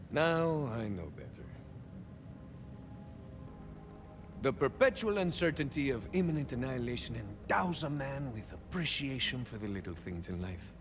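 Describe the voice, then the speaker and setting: An actor playing a swaggering young cyborg soldier of fortune in a video game, a touched flavor, musing theatrically and landing wry deadpan punchlines. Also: male voice, mid-range voice, in a large hall, noisy background. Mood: pensive. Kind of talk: video game character monologue.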